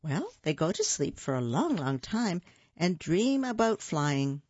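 A woman reading the lines of a patient, know-it-all big sister in a children's storybook, gently explaining things. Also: lowish voice, big pitch swings, measured pace, husky, dry recording, lo-fi sound, heavily acted.

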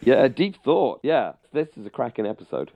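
A man's voice: medium pitch, very animated, moderate pace, gravelly, no echo, narrow, tinny audio. A middle-aged man with an English accent co-hosting a podcast, chatting casually and informally about a radio drama, often searching for words. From England. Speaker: podcast co-host.

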